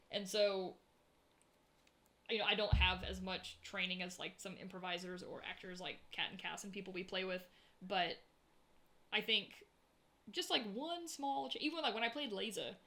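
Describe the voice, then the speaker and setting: A woman with a Southern accent, co-hosting a casual tabletop-gaming podcast and talking in a chatty, animated way. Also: medium pitch. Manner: chatty, many "like" fillers, animated storytelling. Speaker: podcast co-host. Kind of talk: casual chat.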